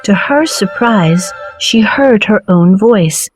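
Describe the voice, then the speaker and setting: A woman storyteller narrating a children's fairy tale at an unhurried, deliberate pace, with a mid-pitched and even voice. Her reading is clear and steady, and faint music plays underneath.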